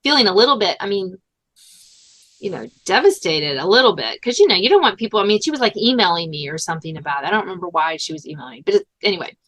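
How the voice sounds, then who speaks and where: A woman speaks as a podcast host in relaxed, informal conversation. Her voice sits at a middling pitch. She is animated and conversational, peppering her sentences with "like" and "you know". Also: quick pace, low-quality recording.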